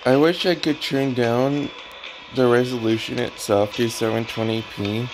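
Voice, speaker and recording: A man giving casual, halting commentary as he plays a video game. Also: medium pitch, steady tone, rough recording. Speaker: gaming streamer. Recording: loud game music behind. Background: video game music and dialogue.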